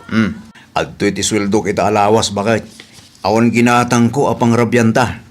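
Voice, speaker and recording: A man's voice: fairly low pitch, little pitch movement, moderate pace, lightly acted. A man playing a humble, good-natured village husband and father in an Ilocano comedy radio drama, with an Ilocano Filipino accent. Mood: apologetic, resigned.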